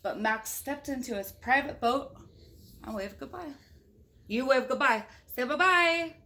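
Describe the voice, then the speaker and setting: A woman's voice: high-pitched, unhurried, bright, forceful tone. A woman reading a picture book aloud in a playful, chatty way, with casual asides to young children. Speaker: storybook reader.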